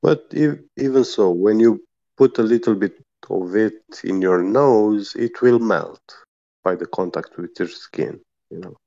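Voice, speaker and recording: A man's voice: lowish voice, measured pace, gravelly, warm tone, no echo. A man patiently explaining things in casual chat, with frequent hesitations, on a slightly muffled lo-fi recording.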